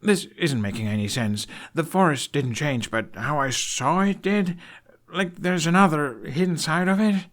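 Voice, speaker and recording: An earnest young prince , acted out by a male reader, in a dramatized story. The voice is raised high and pushed loud, anxious and urgent. It hesitates, then pleads. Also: a little slow. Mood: frustrated, pleading. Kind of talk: dramatized fan fiction reading.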